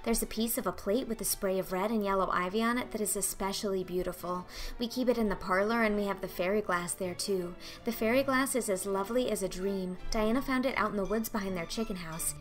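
A woman voicing an excitable, dreamy young girl who talks in a breathless, gushing, dramatic way for an audiobook. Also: medium pitch, a little fast, hardly any pauses, faint music behind, lightly acted. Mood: dreamy chatter. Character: excitable, dreamy, talkative eleven-year-old orphan girl. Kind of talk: audiobook character acting.

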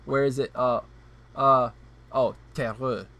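A man's voice: medium pitch, takes it slowly. A Canadian man co-hosting a book podcast, chatting casually and joking at his own expense, a little tipsy. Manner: jokey, self-deprecating, lively bursts of laughter. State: slightly tipsy. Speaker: podcast co-host. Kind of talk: casual chat.

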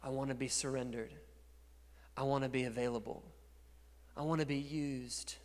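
A man in his thirties preaching a sermon as pastor on a church stage, speaking into a handheld mic and swinging from quiet to loud. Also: mid-range voice, steady tone, normal speed. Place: large dark church stage. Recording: long reverb of a large church hall.

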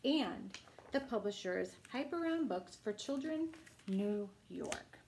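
A middle-aged woman reading a picture book aloud as a children's storytime host, with a deliberate, singsong delivery. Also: mid-range voice, animated, darkish tone, slight background noise, poor audio. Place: small room at home, indoors. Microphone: camera a few feet away.

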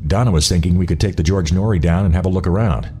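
A man's voice: deep voice, quick pace, mellow, a little hiss or hum, natural voice. A man playing a respectful starship officer who reports in a grave, matter-of-fact way in a sci-fi audio drama. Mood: grave reporting.

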